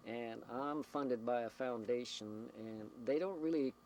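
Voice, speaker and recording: A man with an average-pitched voice explains his work as a small community radio operator. He talks informally and deliberately, picking his words with care. The old documentary tape carries clear, steady rumble and noise around him. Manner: halting, deliberate, choosing words carefully. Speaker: community CB radio station operator and announcer, interviewee. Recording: noisy 1990 documentary tape, vehicle or generator rumble.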